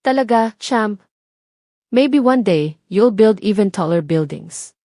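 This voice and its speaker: A woman's voice: medium pitch, animated, slow pace, warm tone, no room sound. Devoted businessman dad for audio drama, earnest and firm, read by a woman, dry recording. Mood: affectionate, playful. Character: devoted businessman father, worried yet firm.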